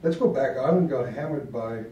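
Mellow-voiced Welsh-born man, roomy studio. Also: medium pitch, fairly quick, recorded from a distance, highs cut off, a little hiss or hum. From Wales. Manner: relaxed, chatty, repeats words as he thinks. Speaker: host. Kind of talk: casual rugby chat. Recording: roomy studio sound, mic set back.

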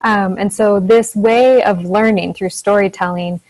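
Soft-spoken young woman presenting. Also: mid-range voice, fairly even intonation, measured pace, rough recording. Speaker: teacher giving a webinar presentation. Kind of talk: informal educational presentation. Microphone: earphone mic on a video call.

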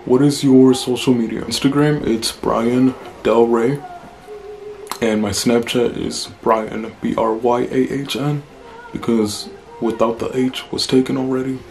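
A man in his twenties with a deep voice, chatting casually through a Q&A vlog in a small room, blunt and relaxed. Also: a little slow, creaky, faint music behind. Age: 20s. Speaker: vlogger.

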